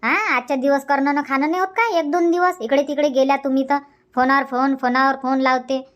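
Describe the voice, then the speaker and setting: Cartoon village aunt, acted by a woman: high voice, Varhadi Marathi accent, bossy and hospitable, nags by repeating herself. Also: steady tone, fairly quick, close to an everyday voice. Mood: firm, scolding. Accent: Varhadi Marathi from Vidarbha. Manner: insistent, repetitive, scolding. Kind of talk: Marathi cartoon comedy dialogue.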